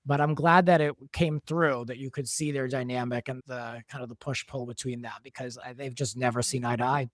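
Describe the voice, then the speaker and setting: A man speaks at a middle pitch in a very dry recording. He talks as a writer and producer in a casual behind-the-scenes discussion, explaining things in a conversational way. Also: fairly even intonation, fairly quick, hardly any pauses, fairly bright tone, no echo. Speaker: soap opera writer and producer, guest.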